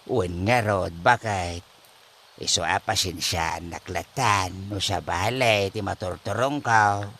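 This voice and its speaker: A man's voice: sits low, takes it slowly, bright, forceful tone, slight background noise, full character voice. A man playing a forgetful, evasive old husband in a comedy radio drama, stammering his way through excuses. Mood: evasive, feeble. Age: older. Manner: bumbling, stammering evasions with many interjections.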